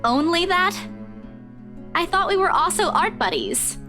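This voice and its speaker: An actress playing a spirited, self-conscious young woman in a visual novel, expressive and earnest. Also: very high voice, a little slow, exaggerated voice. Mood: playfully indignant. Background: music.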